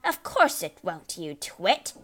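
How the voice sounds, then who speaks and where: A woman's voice: lowish voice, big pitch swings, slow, fairly bright tone, full character voice. A woman voicing a scornful, scolding storybook mother, exasperated and apt to shriek at her husband.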